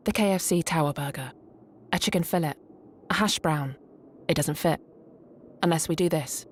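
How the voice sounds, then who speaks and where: A woman's voice: deep voice, moderate pace, a little hiss or hum. A woman doing a scripted voice-over narration for a commercial.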